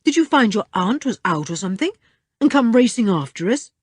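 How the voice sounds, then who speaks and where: A calm, stern boy who leads the group, read by a woman, level and commanding with a scornful edge. Her voice is husky and dry, with lively swings in pitch. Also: unhurried, no echo, heavily acted. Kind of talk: audiobook character reading.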